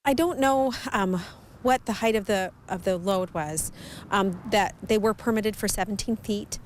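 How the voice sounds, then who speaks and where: A woman answering interview questions as an official, halting and hesitant. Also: medium pitch, a little hiss or hum.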